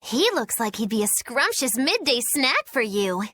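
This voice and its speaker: A woman's voice: fairly high pitch, very animated, a little slow, sharp tone, no room sound, heavily acted. An actress playing a playful, flippant, callously teasing young woman in a theatrical video game style. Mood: teasing, sly. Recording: faint music behind the voice.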